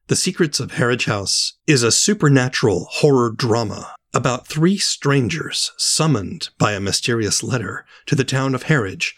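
A man hosting a podcast, reading scripted narration in a measured, articulate way. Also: mid-range voice, a bit bright. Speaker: podcast host.

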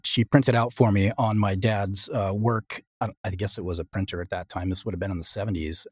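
Low-voiced man chatting, dry sound. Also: darkish tone, dry recording. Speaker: interview guest, writer and audio drama creator. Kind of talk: casual reflective conversation.

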